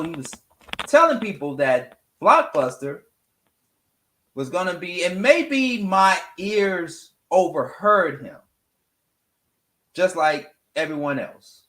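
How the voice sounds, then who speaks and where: A man's voice: high voice, fairly bright tone, roomy sound. A man hosting a morning show, talking casually about music and repeating phrases for emphasis. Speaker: radio morning show host.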